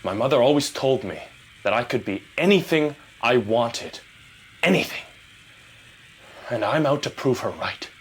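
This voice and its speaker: A man's voice: mid-range voice, expressive, measured pace, long pauses, slight background noise, lightly acted. An actor playing a smug, delusional male movie star in an audio drama, theatrical and seductive, slipping between whispers and bursts. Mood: grandiose, intense.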